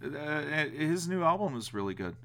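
A man's voice: a little high, sing-song, moderate pace, a bit bright. A man co-hosting a podcast in casual chat, joking and going along with his partner. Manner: joking, agreeable banter. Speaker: podcast co-host.

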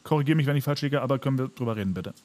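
A man's voice: medium pitch, very fast, darkish tone. A man co-hosting a podcast in casual chat, talking in a hedging, conciliatory way. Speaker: podcast co-host.

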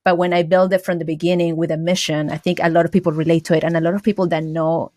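A woman's voice: fairly low pitch, flat delivery, fast talker, darkish tone, no echo. A woman from Venezuela with a Venezuelan accent, a guest talking earnestly about her small business and often restarting words mid-thought. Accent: Venezuelan Spanish. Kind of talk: casual interview chat.

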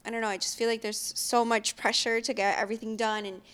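A woman's voice: on the high side, level tone, quick pace, fairly bright tone, clean tone. A woman in her twenties chatting casually with a friend, using lots of fillers and laughing easily. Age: 20s. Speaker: young mom in a casual chat. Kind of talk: casual chat.

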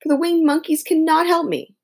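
A woman's voice: very high voice, sing-song, brisk, creaky, voice pushed up, exaggerated voice. A woman reading a storybook, voicing an earnest, homesick little girl who speaks emphatically and pleadingly. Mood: disappointed.

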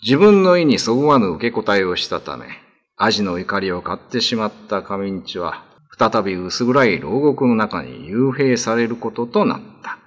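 A man's voice: fairly low pitch, very animated, normal speed. A man reading scripted narration for a classic ghost story radio drama.

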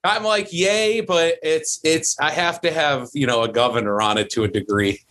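A man's voice: on the high side, normal speed, a bit bright. A man in his thirties from Chicago with a Midwest accent, co-hosting a casual podcast chat in a rambling, easygoing way with many fillers. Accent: Midwest American. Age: 30s. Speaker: podcast co-host.

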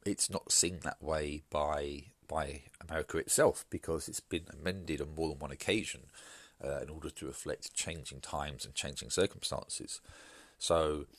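A man with an extremely deep, low voice hosts his solo podcast, speaking in a measured, halting manner full of "uh"s and restarts. He sounds stuffy and bunged up from hay fever. The recording is close and dry. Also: fairly bright tone, no echo. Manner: measured, hesitant with fillers and restarts. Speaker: podcast host.

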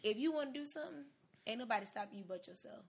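A woman's voice: fairly high pitch, lively intonation, quick pace, vocal fry, husky. A woman in her twenties giving casual, conversational advice in a candid, emphatic way, as a podcast host. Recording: noticeable background noise.

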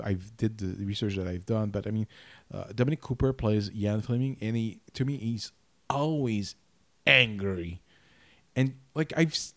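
A man co-hosting a podcast in casual chat, talking haltingly with many restarts and fillers. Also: fairly low pitch. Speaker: podcast co-host.

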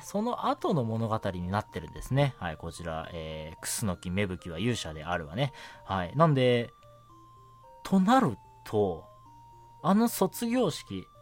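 Young man chatting with very animated pitch. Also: measured pace. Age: young adult. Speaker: radio show host. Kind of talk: casual solo radio chat. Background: background music.

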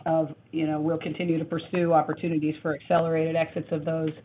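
A woman executive answering analyst questions on an earnings call, measured, with frequent ums and restarts. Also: low voice, little pitch movement, slight background noise. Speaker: company executive. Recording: tinny phone line.

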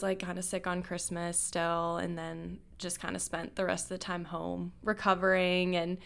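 Chatty young woman, lower voice. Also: creaky, clean tone. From Nebraska, USA. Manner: chatty, laughs often, lots of "like". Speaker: podcast co-host. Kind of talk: casual chat.

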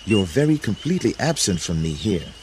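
A man playing a commanding, suspicious husband and master who speaks loudly and demandingly in a dramatic story. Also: deep voice, sing-song, brisk, slight background noise. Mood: alarmed, accusing. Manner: loud, demanding, imperious.

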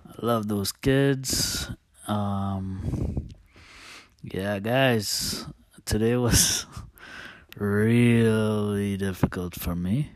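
Slow, very low-voiced man confiding a story. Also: dry recording. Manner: halting, hesitant, confiding. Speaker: host. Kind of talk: casual personal storytelling.

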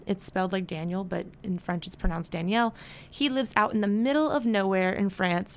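Young american woman hosting her podcast, chatty and rambly, smooth clear voice, lots of ums. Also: mid-range voice. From United States. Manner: chatty and rambling, with fillers and self-corrections. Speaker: podcast host. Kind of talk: casual solo podcast chat.

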